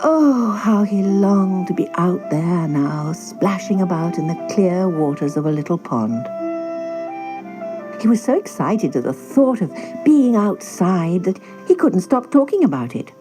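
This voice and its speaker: A woman narrating a scripted children's story in an expressive storyteller's style. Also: fairly low pitch, unhurried, over music, low-quality recording.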